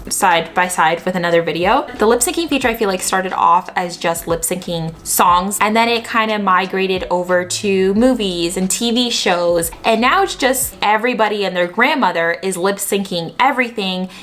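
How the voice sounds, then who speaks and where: A woman's voice: medium pitch, normal speed, few pauses, fairly bright tone. Chatty Canadian woman vlogger. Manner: chatty, opinionated, emphatic asides. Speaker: YouTube vlogger. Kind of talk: scripted explainer commentary.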